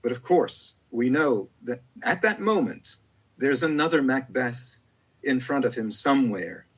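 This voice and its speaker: A man, a poet whose first language is Italian, speaking reflectively in an interview with frequent pauses, fillers and repeated words. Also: medium pitch, expressive, slightly rough, low-quality recording.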